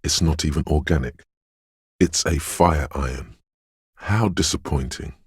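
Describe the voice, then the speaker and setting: A man voicing a detective's brooding, mystical intuition in a video game, with a deep, gravelly voice and slow, ominous, dreamlike delivery. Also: dry recording, clean studio sound, heavily acted. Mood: wry, disappointed. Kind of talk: scripted video game narration in second person.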